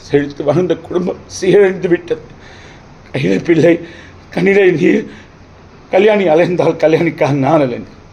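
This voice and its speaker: A man playing a wronged, defiant accused brother pleading his case in court, declaiming in theatrical old Tamil film oratory with dramatic pauses. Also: on the high side, creaky, a little mellow, dull audio. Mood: sorrowful.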